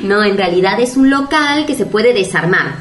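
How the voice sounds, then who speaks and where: An actress playing a chatty, ingratiating beauty-cream promoter with a Buenos Aires accent, effusive and polite, repeating herself in comic sales patter. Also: female voice, mid-range voice, animated, moderate pace, fairly bright tone, close to an everyday voice. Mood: salesy. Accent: Buenos Aires Argentine. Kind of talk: comic radio sketch acting. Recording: distant, echoey, faint background noise.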